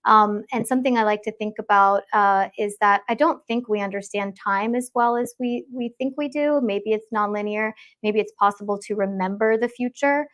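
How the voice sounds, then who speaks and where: A woman's voice: mid-range voice, steady tone, normal speed, few pauses, a bit bright. A woman guest in an online discussion, speaking hesitantly and thoughtfully with many fillers, over a video call. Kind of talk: casual discussion.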